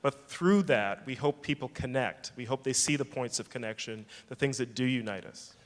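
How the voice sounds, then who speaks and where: A man speaking at a public fireside chat, thoughtful and measured, who often restarts a phrase before he finishes it. His mid-pitched voice carries a long reverb from the hall around him. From England. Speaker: film festival director.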